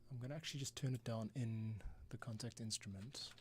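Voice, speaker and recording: A man's voice: medium pitch, steady tone, brisk, slight background noise. A man thinking aloud in a casual way as he works through a music production stream, often muttering to himself. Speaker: composer hosting a live music production stream. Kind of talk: casual think-aloud tutorial while working. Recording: music playing behind the voice. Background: score playback and computer keyboard clicks.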